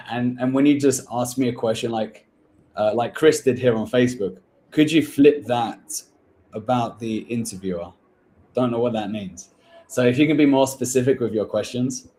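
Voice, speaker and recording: A man's voice: medium pitch, dark tone, a little hiss or hum. A man hosting a live-stream Q&A about getting hired, blunt and coaching, calling people "bro". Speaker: host, recruitment agency owner.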